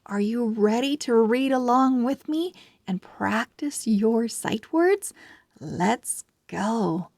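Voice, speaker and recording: A woman hosting a children's read-along, reading deliberately and expressively, word by word, for young children. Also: fairly high pitch, expressive, darkish tone, dry recording, clean studio sound. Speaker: children's reading channel host.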